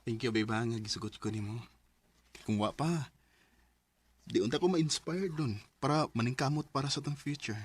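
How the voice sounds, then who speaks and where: An actor playing a devoted, hopeful young boyfriend in a Filipino radio drama, with a Bisaya accent. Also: male voice, mid-range voice, normal speed, long pauses, natural voice. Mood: hopeful, tender.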